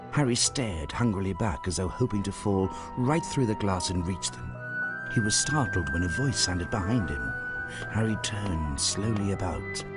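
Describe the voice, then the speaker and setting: Deep-voiced male storybook narrator.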